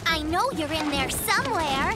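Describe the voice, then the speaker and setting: Very high-pitched little girl fox cub. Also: expressive, normal speed. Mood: curious, playful. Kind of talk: children's cartoon dubbing. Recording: music bed under the voice.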